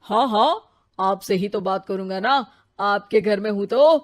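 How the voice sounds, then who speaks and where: A woman's voice: mid-range voice, unhurried, natural voice. A woman voicing a chatty male magic television newsreader that teases the family in a cartoon. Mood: cheeky. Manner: cheeky, teasing, talkative. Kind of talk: Hindi cartoon voice acting.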